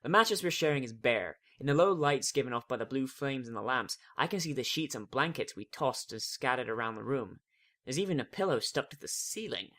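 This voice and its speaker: A man's voice: mid-range voice, fairly bright tone. A man giving a dramatic first-person reading of a fan fiction story.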